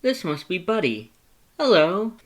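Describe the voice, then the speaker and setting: A man's voice: high-pitched, very animated, unhurried, pitched above the performer's own voice. A man voicing a very tall, cheerful, polite male skeleton with a formal, exuberant, declamatory delivery in a fan fiction story. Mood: delighted, polite.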